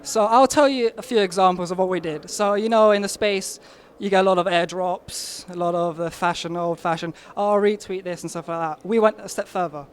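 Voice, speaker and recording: Man, young adult, high-pitched, insistent, casual interview. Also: a little fast, few pauses. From Albania, raised in London. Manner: eager, insistent, emphatic claims. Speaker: marketing lead, interviewee.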